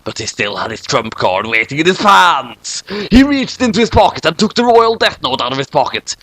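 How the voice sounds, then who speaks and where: A man's voice: on the high side, very animated, moderate pace, fairly bright tone, dry recording. A man narrating fan fiction as a dramatic comic reading, theatrical and exaggerated.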